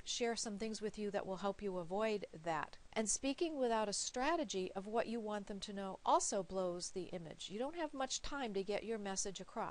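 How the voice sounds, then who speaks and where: A woman coaching viewers with practical advice in an emphatic, encouraging, conversational way. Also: medium pitch. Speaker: recruiter giving interview coaching.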